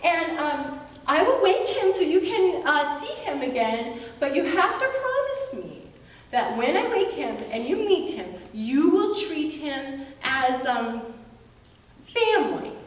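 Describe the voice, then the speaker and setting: A woman voicing an eager, sweet young girl in a high, gushing sing-song, heard from far off in an echoey church. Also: sing-song, slow pace, exaggerated voice. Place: church sanctuary.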